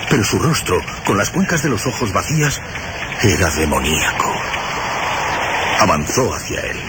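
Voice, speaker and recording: An actor as the grave storyteller of a radio horror drama, narrating with deliberate, suspenseful phrasing and dramatic pauses. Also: male voice, fairly low pitch, sing-song, background noise. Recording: radio drama with loud music behind.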